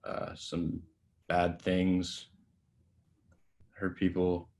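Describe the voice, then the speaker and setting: A man's voice: deep voice, completely flat delivery, extremely slow, darkish tone, full character voice. A man playing a reckless, defensive young actor in a screenplay table read, hesitant and casual in his delivery. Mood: awkward, defensive. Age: young adult.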